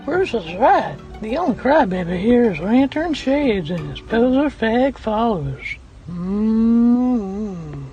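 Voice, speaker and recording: A woman's voice: fairly high pitch, sing-song, takes it slowly, mellow, faint music behind. A woman voicing a snide, smug metal elitist in a comedic reading of comments. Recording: dull lo-fi microphone.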